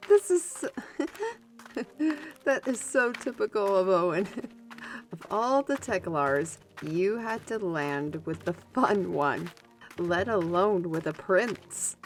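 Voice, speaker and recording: Woman acting a spirited, unhurried fantasy heroine, music underneath. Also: mid-range voice. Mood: amused, teasing. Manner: playful, expressive, deliberate. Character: spirited, teasing female artist-steward, good-humored adventurer. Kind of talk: fantasy audio drama acting.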